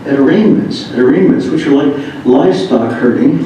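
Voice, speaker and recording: A man's voice: fairly high pitch, lively intonation, normal speed, warm tone. An American man reading humorous first-person anecdotes aloud in an amused manner. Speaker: reader of another writer's memoir. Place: echoey indoor room. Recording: echoey room, distant mic, dull, faint noise.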